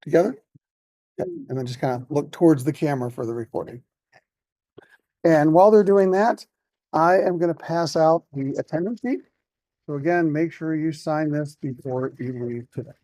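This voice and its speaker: Brisk man giving class announcements. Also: on the high side, dark tone. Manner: brisk, checks in with tag questions. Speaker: professor. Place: lecture classroom.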